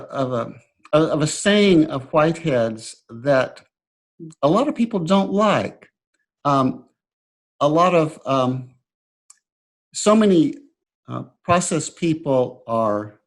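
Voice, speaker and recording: An older man hosting an interview, asking probing questions with halting restarts. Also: medium pitch, poor audio. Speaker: interviewer host.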